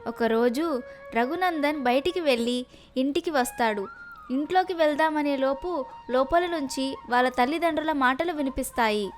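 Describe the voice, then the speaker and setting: High-voiced woman narrating a story, music behind. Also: moderate pace. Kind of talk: scripted children's story narration.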